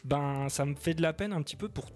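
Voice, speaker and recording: Hesitant man with a slightly high voice. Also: quick pace, darkish tone, faint music behind. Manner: polite, hesitant, sympathetic. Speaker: debate participant. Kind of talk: radio debate discussion.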